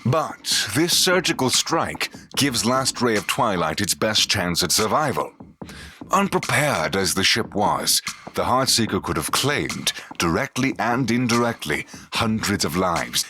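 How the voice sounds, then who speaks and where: A man's voice: fairly low pitch, big pitch swings, measured pace, pushed and bright, slight background noise. A man narrating a sci-fi audio drama in a scripted, dramatic storytelling style.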